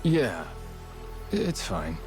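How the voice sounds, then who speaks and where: A man's voice: lowish voice, huge pitch swings, extremely slow, over music, full character voice. An actor playing a blunt underworld man who talks in plain, colloquial terms. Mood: matter-of-fact.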